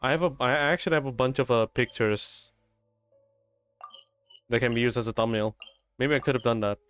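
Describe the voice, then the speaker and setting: A man giving casual live-stream game commentary in a laid-back, halting, slangy way. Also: medium pitch, fairly bright tone. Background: game music.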